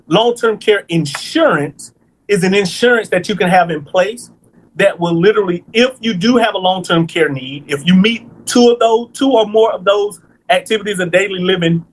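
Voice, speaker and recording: Man, 30s, high voice, financial planner guest, chatty and lively, keeps checking in with the listener. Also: normal speed, a little hiss or hum, poor audio. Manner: lively, explains step by step with repeated "right?" checks. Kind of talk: casual podcast conversation.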